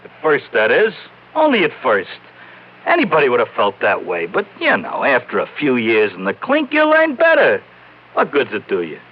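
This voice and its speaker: An actor playing a slangy, defensive small-time American ex-mob bookie in a vintage radio crime drama. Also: male voice, mid-range voice, wildly swooping pitch, normal speed, a little hiss or hum. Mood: agitated, defensive. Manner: slangy gangster talk, stammering, protesting. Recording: muffled old radio recording.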